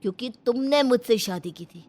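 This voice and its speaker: A woman's voice: on the high side, expressive, fairly quick, darkish tone, voice pushed down. A woman voicing a poor, aggrieved young husband in a family story, speaking firmly and reproachfully. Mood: reproachful anger. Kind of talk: dramatised family story acting.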